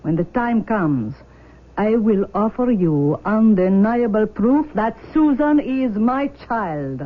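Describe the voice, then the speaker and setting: An actress playing a formal, imperious mother in a 1970s radio drama, speaking in a haughty, deliberate manner. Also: mid-range voice, lively intonation. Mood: assertive, controlled. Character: formal, imperious Russian mother demanding her daughter. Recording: dull vintage radio broadcast.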